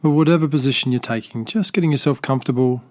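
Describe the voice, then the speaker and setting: Soft-spoken man guiding a meditation. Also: medium pitch. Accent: Australian. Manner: slow, soft-spoken, gentle guidance with pauses; unscripted, with small restarts. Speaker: meditation teacher. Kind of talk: guided body scan meditation.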